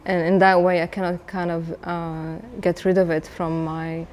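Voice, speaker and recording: Woman from Palestine, low flat voice, hesitant with fillers, faint background noise. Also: normal speed. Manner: hesitant, many fillers and restarts. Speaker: artist. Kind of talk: documentary interview.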